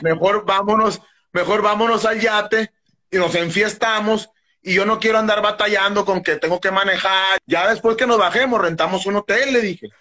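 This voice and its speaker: A man's voice: sits high, fairly even intonation, moderate pace, sharp tone. A man, rambling through a story on a phone video call, stumbling and restarting and swearing freely. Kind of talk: casual chat on a live video call. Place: indoors, sitting on a couch.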